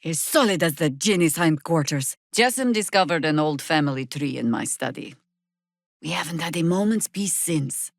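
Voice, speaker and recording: A seasoned, battle-worn heroine from a fantasy video game. She is a middle-aged woman with a fairly low voice and a wry, measured, dramatic delivery. The sound is very dry, with no room around it. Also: lively intonation, vocal fry, no room sound. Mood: wry, fond. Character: seasoned, wry female adventurer, battle-worn mother and druid. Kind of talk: video game character dialogue and spell calls.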